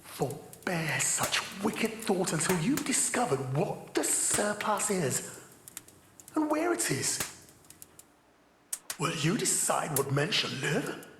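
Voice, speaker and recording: A woman's voice: on the low side, sing-song, a bit bright, echoey room, slight background noise, full character voice. A woman playing a solemn Christmas spirit who foretells a child's fate and rebukes a miser. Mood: stern. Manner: slow, grave, admonishing. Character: solemn grave spirit of Christmas, stern and prophetic.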